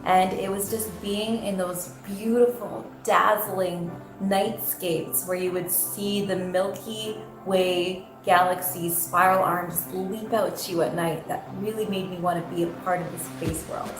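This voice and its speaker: A woman's voice: on the low side, fairly even intonation, a little slow, few pauses. A woman recalling, in a reflective tone, the night skies that drew her toward space. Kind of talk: reflective personal story. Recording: roomy echo under background music.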